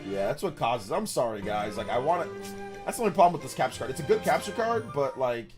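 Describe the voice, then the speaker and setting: A man in his fifties chats loosely through a game stream, his pitch rising and falling a lot as he talks. He rambles, corrects himself and laughs often. Faint music plays underneath. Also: big pitch swings, quick pace. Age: 50s. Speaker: livestream host. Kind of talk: casual gaming livestream chat.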